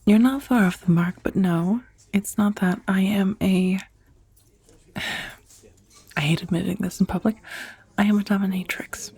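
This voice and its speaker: Breathy, half-whispering woman acting a dominatrix on a date. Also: medium pitch, fairly even intonation, measured pace, pauses often, darkish tone, no echo. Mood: sheepish, hesitant. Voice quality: breathy, often near a whisper. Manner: hesitant, confiding, teasing, with sighs. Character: professional dominatrix on a blind date, sheepish yet teasing. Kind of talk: soft-spoken ASMR roleplay. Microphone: close, dry ASMR microphone.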